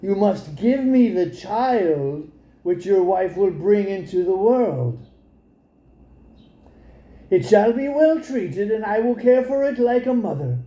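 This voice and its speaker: A man's voice: high voice, sing-song, slow, dark tone, roomy sound, heavily acted, poor audio. A man voicing a possessive, commanding old enchantress in a storybook, with an incantatory delivery. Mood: bargaining, stern. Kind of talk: storybook character voice.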